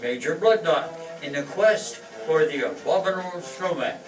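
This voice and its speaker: Male comedy narrator, slightly high pitch, scripted announcer delivery, roomy distant stage recording. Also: lively intonation, normal speed, music in the background.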